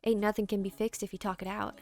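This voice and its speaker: A woman's voice: medium pitch, little pitch movement, quick pace, a little mellow, soft background music, natural voice. Woman putting on a hearty, folksy ballplayer. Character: big boastful male baseball player, folksy, friendly.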